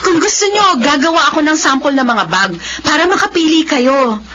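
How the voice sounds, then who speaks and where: A woman's voice: sits high, animated, moderate pace, dull audio, noisy background. A woman playing a devoted working mother in a radio-style family drama, speaking expressively.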